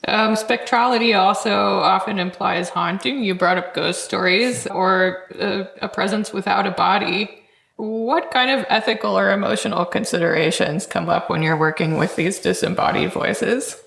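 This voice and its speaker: A woman hosting an interview, asking scholarly questions in a measured, articulate way. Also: medium pitch, vocal fry, fairly bright tone. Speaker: interviewer, podcast host. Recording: echoey room.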